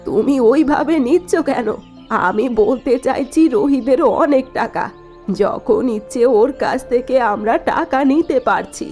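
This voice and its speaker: A woman voicing a scheming middle-aged mother-in-law in a cartoon story, talking in a sharp, dismissive way. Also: sits high, animated, measured pace, soft background music. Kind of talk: cartoon voice acting.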